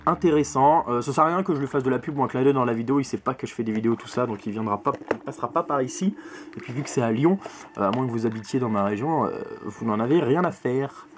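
Young adult male, mid-pitched, emphatic conversational delivery for a casual collector's vlog. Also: fast talker, slight background noise. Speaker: video game collector and YouTube host. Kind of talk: casual video chat to camera. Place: small bedroom full of games, indoors. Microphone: camera mic at arm's length.

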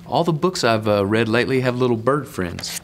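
A man's voice: medium pitch, lively intonation, brisk. Middle-aged man reading aloud, noisy room. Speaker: school superintendent reading a picture book. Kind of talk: children's read-aloud with asides. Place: indoor room set up as a reading corner.

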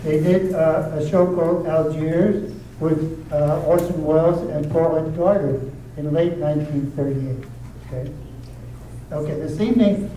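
A man's voice: fairly high pitch, dark tone. Casual stage host, male, keeps checking in with the audience; recorded far off in a noisy, very echoey hall. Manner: chatty, checks in with frequent "okay?". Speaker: host introducing a readers theater show. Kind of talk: casual talk to a live audience. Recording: echoey room, distant mic, noisy.

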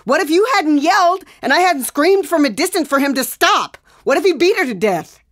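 An actress playing an anxious, defensive pregnant wife in a naturalistic audio drama, with an emotional, urgent delivery. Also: female voice, high-pitched, animated, a little fast, no echo, clean studio sound. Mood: agitated, defensive. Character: anxious, defensive pregnant young wife, pleading with her husband.

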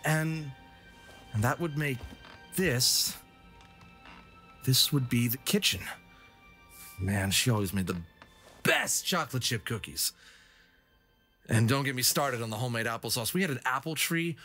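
A man's voice: medium pitch, animated, measured pace, long pauses, sharp tone, full character voice. A man playing an adventurous, stubborn and sentimental fox hero in an audio drama. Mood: nostalgic, excited. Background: dramatic background music.

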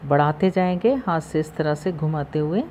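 A woman hosting a cooking channel, calmly talking through the steps of a recipe in her home kitchen, recorded on a phone. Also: low voice, normal speed, slight background noise. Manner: calm, instructive, repeats steps. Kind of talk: step-by-step recipe voice-over.

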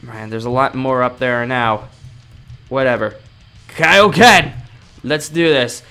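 Man playing a bright-voiced, exasperated young anime hero. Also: mid-range voice, takes it slowly, clear, resonant tone, soft background music. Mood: cocky, combative. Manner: grumbling slangy monologue, talking to himself. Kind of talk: improvised video game roleplay.

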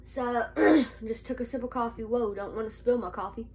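A young woman recovering from a cold, talking slowly with a nasal voice and hesitating often in a casual vlog recorded close to a phone camera indoors. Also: on the high side, background noise. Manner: slow, halting, many "um"s and restarts. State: nasal from a cold, slight cough. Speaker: vlogger. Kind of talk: casual life update vlog. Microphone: phone camera held close.

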